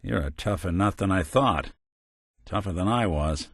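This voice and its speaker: A man's voice: sits low, expressive, normal speed, husky, dry recording, studio quality, exaggerated voice. A male actor playing a world-weary, principled android detective in a video game, with a wry, folksy hardboiled way of talking and old-fashioned slang. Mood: stern, fed up. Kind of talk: video game companion dialogue, noir detective.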